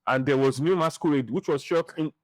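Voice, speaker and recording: A middle-aged man with a Nigerian accent giving opinions in a podcast interview, speaking deliberately and repeating phrases for emphasis. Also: on the high side, no echo. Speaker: interview guest, film director and writer.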